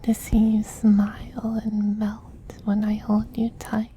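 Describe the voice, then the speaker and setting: A man voicing a doting, possessive femboy vampire queen in a soft, breathy whisper, slow, tender and gently commanding, as in an ASMR roleplay. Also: high voice, completely flat delivery, slight background noise. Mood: tender, affectionate.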